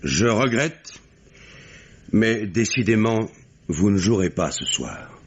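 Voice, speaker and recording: An actor playing a calm, authoritative police inspector in a 1960s French radio drama. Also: male voice, fairly low pitch, slow. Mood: stern. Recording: dull old radio recording with noise.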